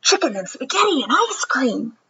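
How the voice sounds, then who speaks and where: A woman's voice: high voice, sing-song, normal speed, bright, forceful tone, slightly rough, lo-fi sound, voice pushed up, full character voice. A woman reading a picture book, voicing an eager, hopeful young schoolgirl who is full of questions. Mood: eager, curious.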